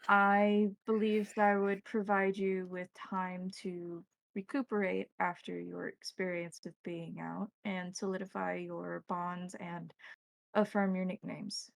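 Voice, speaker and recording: A woman playing a reserved, courteous supernatural mentor in a tabletop game, speaking in formal, measured wording. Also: lowish voice, flat delivery, gravelly, no room sound. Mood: formal, patient.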